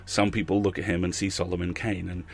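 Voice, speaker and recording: Low-voiced man hosting a podcast. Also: level tone, fast, gravelly. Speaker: podcast host. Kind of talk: conversational hosted podcast introduction.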